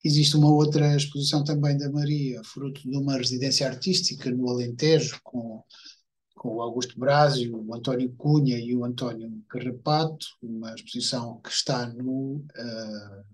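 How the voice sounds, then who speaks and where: A man's voice: mid-range voice, steady tone, normal speed, slightly rough, poor audio. A man hosting an interview, introducing his guest and asking questions with frequent hesitations. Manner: hesitant, many fillers. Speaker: interviewer host. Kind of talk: hosted conversation.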